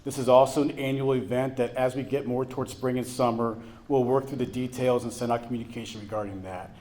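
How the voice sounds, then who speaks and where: Man, mid-pitch, upbeat announcer, chamber event remarks, college event room. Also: steady tone, fairly quick, darkish tone. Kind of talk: prepared remarks at a business breakfast.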